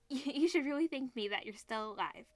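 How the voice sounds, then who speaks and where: A woman's voice: high voice, lively intonation, moderate pace, dry recording. A woman reading the part of a blunt, cautious male classmate who scolds in a visual novel. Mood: scolding.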